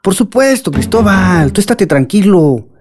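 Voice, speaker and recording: A man playing a smooth, reassuring schemer in a radionovela. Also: high voice, big pitch swings, a little slow, darkish tone. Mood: falsely soothing. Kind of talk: radionovela acting.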